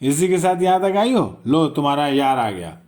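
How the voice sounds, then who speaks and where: A bitter middle-aged man acted by a male storyteller, jealous and bullying. He talks with dismissive sarcasm and an animated, slightly raised pitch, in an audio storybook style. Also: moderate pace. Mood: scornful anger.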